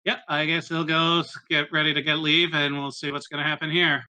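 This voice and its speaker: A man playing a tabletop roleplaying game, improvising in character in a hesitant, halting way. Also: fairly high pitch, level tone, bright, forceful tone, clean tone, poor audio.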